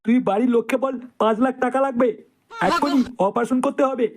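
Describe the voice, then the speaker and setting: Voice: high-pitched, steady tone, fast, natural voice. A performer voicing a crude, bad-tempered, lecherous male surgeon in a comedy cartoon dub. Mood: sly, lecherous. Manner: foul-mouthed, blustering.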